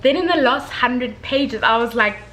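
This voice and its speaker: A woman giving a casual book review in an expressive, slangy way, talking to viewers like girlfriends with emphatic check-ins. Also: on the high side, moderate pace, slight background noise.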